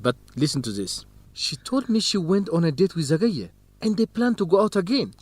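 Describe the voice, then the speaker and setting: An actor playing an easygoing, good-humoured young field researcher, speaking casually in an educational radio drama. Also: male voice, sits high, big pitch swings, brisk, dry recording. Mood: weary, friendly.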